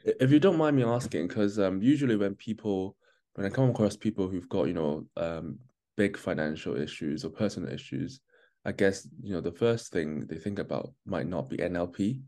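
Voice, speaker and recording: A man's voice: sits low, expressive, moderate pace, warm tone. A man hosting a podcast interview, listening attentively, giving frequent short acknowledgements and asking questions.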